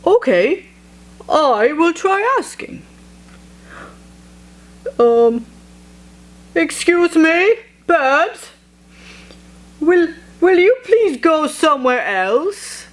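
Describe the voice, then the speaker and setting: Woman playing anxious, high-pitched cartoon elephant. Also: very animated, drawn-out words, pauses often, heavily acted. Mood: hesitant. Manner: stammering, exclaiming, dramatically dismayed. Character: anxious, exasperated cartoon elephant from a picture book. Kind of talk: expressive children's read-aloud.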